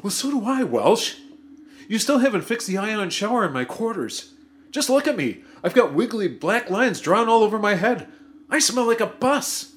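A man's voice: high-pitched, very animated, moderate pace. A man voicing a greedy, whiny male crewman in a comedy audio drama, given to giddy squeals. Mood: complaining.

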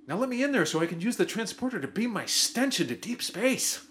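Greedy spaceship crewman, high male voice, very animated, whiny, melodramatic, sci-fi spoof audio drama. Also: a little fast. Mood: exasperated, demanding.

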